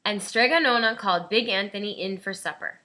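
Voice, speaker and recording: A woman's voice: mid-range voice, a little fast, sharp tone. A woman reading a children's storybook aloud with careful expression. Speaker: storybook reader.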